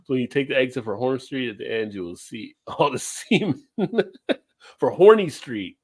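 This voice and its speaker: Man chatting casually, animated pitch, dry close sound, laughs a lot. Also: breathy edge, no echo. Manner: laughs often, lively storytelling. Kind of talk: casual chat.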